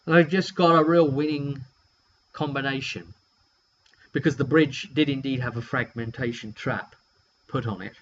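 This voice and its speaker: A British man casually telling a story in an animated, rambling way, drifting into asides as he goes. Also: mid-range voice. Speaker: tabletop game player recounting a session. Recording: muffled lo-fi audio with hum.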